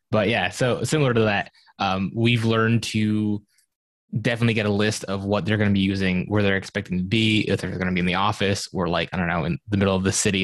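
A man on a podcast panel, explaining in a relaxed, practical way how he prepares guests. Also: lowish voice, no room sound. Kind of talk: casual panel chat.

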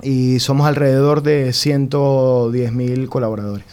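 A man's voice: medium pitch, flat delivery, a little slow, dark tone, clean tone. Venezuelan man, hesitant interview guest. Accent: Venezuelan Spanish. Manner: hesitant, many fillers and restarts. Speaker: guest, company security chief. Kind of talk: radio interview.

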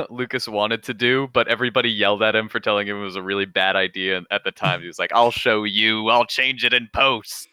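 A man's voice: on the low side, fairly quick, hardly any pauses, bright, forceful tone, smooth, dry recording. A man co-hosting a casual panel chat about films with friends. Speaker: podcast co-host.

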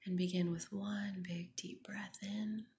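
A woman leads a guided meditation in a gentle, breathy near-whisper. She speaks very slowly, with long unhurried phrasing and a flat, even melody that soothes. Some background noise sits behind her voice. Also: mid-range voice. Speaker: meditation guide, podcast host.

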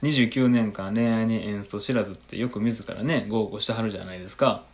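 A man's voice: fairly low pitch, a little fast. A man, a podcast co-host explaining things politely and matter-of-factly in a comic skit. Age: late 20s. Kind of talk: radio-drama style comic skit dialogue.

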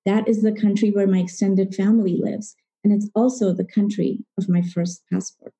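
Mellow-voiced middle-aged woman telling a story. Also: mid-range voice, flat delivery, normal speed. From Zambia. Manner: reflective, poses rhetorical questions, acts out dialogue. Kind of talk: personal storytelling at a live event.

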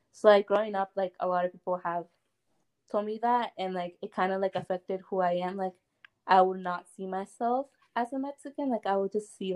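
A woman's voice: mid-range voice, a little mellow. A young woman on a student panel, talking hesitantly and using "like" constantly. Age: young adult. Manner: hesitant, heavy use of "like". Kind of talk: casual panel discussion.

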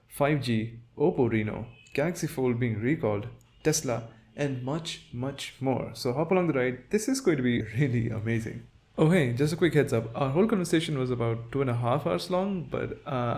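A man's voice: mid-range voice, gravelly. Upbeat male podcast host. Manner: upbeat, energetic intro. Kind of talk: podcast hosting.

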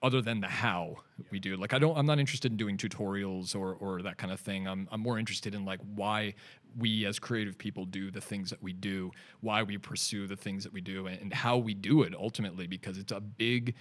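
A man's voice: fairly low pitch, steady tone, fairly quick. A man in his forties with a Canadian accent, chatting loosely as a podcast guest in a studio, with lots of fillers and restarts. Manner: rambling, laid-back, many fillers and restarts. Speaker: podcast guest, digital artist. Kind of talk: casual interview chat.

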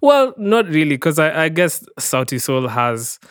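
A man's voice: fairly high pitch, sing-song, measured pace, fairly bright tone, clean tone, no room sound, clean studio sound. A young man co-hosting a podcast in casual chat, asking questions and steering the talk back on track. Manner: inquisitive, steers the talk, reads out chart details. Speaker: podcast co-host.

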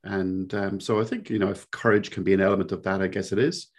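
A man's voice: low voice, fairly even intonation, fast, husky. A man around sixty with a Cork Irish accent, a podcast guest who talks in a reflective, rambling way full of fillers. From Ireland. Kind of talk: conversational interview.

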